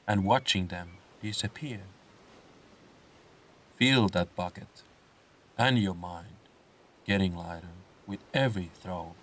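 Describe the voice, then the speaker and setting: Slow, low-voiced man guiding meditation. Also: recorded from a distance, rough recording. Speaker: guided meditation narrator. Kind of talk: slow scripted guided meditation with comic swearing.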